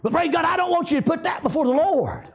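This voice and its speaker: A man with a Southern US accent preaching a fervent revivalist sermon, exhorting loudly and peppering it with praise interjections. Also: very high voice, animated, fast talker.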